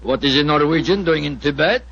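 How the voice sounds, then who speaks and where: An actor playing a pompous, boisterous, flirtatious Imperial Russian envoy with a Russian accent and gravelly voice, in a radio drama. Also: male voice, on the high side, brisk, a bit bright. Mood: jovial, boastful. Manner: pompous, boisterous, refers to himself by name. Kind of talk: 1940s radio acting. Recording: dull, faintly noisy 1940s radio recording.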